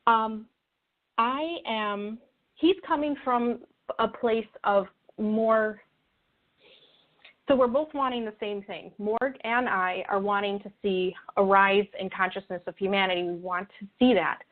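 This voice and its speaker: A woman speaking as an interview guest in an earnest, conversational way, reflective and halting as she rephrases her thoughts. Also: medium pitch. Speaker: interview guest, spiritual video creator. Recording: tinny phone-like call audio.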